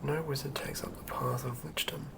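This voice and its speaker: An ASMR host speaking in a soft, breathy whisper, gently and quietly sharing tabletop fantasy material. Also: medium pitch, steady tone, normal speed, heavy background noise. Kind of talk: whispered ASMR, chatting and reading aloud from a game manual.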